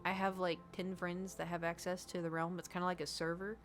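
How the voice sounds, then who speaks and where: A woman's voice: lowish voice, level tone, brisk. A woman from the United States, a gaming streamer rambling in casual chat and answering her chat. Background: background music.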